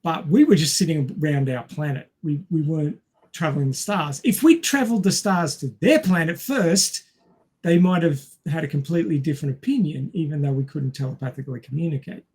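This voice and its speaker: Blunt man with a husky voice. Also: on the high side, lively intonation, brisk, darkish tone. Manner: blunt, contrarian, acts out imagined quotes. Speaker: podcast co-host. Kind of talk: casual debate.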